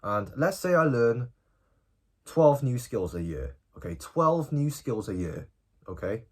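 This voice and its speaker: Animated eighteen-year-old guy, husky. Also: mid-range voice, a little slow. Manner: animated, informal, often tagging lines with "bro" and "okay?". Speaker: self-improvement vlogger. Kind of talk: casual direct-to-camera vlog.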